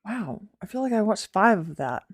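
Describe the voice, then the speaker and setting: A woman's voice: medium pitch, very animated, moderate pace, no room sound, clean studio sound. A middle-aged woman co-hosting a casual podcast, blunt and dryly teasing. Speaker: podcast co-host. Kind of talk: casual chat.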